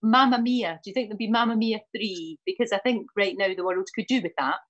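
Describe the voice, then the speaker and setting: Husky Scottish woman hosting. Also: medium pitch, fast, highs cut off. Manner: warm, effusive, repeats words. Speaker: morning TV host. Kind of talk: TV interview chat.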